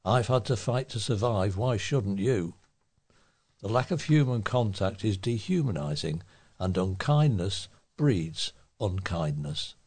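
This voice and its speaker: A man reads local newspaper pieces aloud in a fairly low voice. His tone is a little husky, and the delivery is that of a volunteer reader working from a written text. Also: lively intonation, a little slow, a little mellow.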